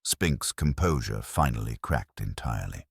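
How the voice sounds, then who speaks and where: Extremely deep-voiced male mystery narrator. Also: expressive, normal speed, gravelly, slightly rough, no room sound, clean studio sound. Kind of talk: scripted mystery story narration.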